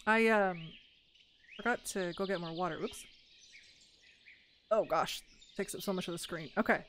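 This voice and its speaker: A young woman casually commentating her Minecraft let's play, excitable and gushing over cute animals, a little hoarse. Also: on the low side, moderate pace, a little hiss or hum. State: slightly hoarse. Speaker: gaming YouTuber.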